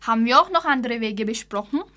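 A woman's voice: on the high side, lively intonation, fast, pushed and bright. A teenage schoolgirl answering in brief, plain sentences in a scripted radio dialogue. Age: teens. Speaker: student.

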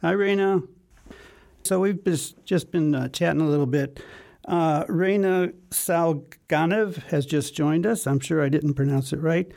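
A man's voice: on the high side, measured pace, gravelly, a little mellow. A man hosting a casual political discussion over a video call, wry and given to ranting. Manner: wry, self-aware ranting. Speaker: radio show host.

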